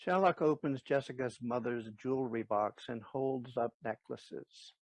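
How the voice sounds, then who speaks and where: A man's voice: mid-range voice, unhurried, darkish tone, no echo. A man narrating the stage directions of an audio play as scripted narration. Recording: dull, dry lo-fi recording.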